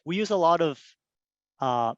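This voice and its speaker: A man's voice: fairly high pitch, expressive, unhurried, no echo, muffled recording. A middle-aged man from Korea giving a casual, friendly workplace presentation on a video call, with plenty of ums and uhs.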